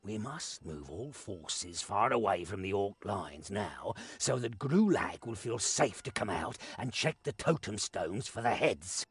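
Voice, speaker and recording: A man playing a shrewd, commanding war leader in a fantasy game, measured and authoritative. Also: fairly low pitch, expressive, husky, full character voice. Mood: resolute scheming.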